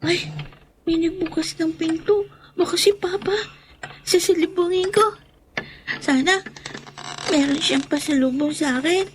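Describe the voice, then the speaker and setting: A woman's voice: extremely high pitch, measured pace, pauses often, slight background noise. A woman playing an innocent, affectionate young child who is anxious for Papa in a radio drama. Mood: wondering, hopeful.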